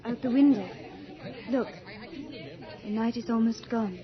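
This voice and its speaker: A woman's voice: a little high, unhurried, a crowd in the background, close to an everyday voice. An actress playing Death as a gracious, beautiful young lady in a radio horror drama, genteel, delicate and wistful. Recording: dull 1980s radio recording, faint music.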